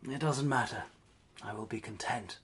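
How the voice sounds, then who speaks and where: A weary proud writer, period drama, formal and held back, bit husky. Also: male voice, medium pitch, normal speed, fairly bright tone. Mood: gentle, resigned. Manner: formal, restrained. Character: proud, weary, failed novelist, estranged husband. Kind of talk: British period radio drama acting.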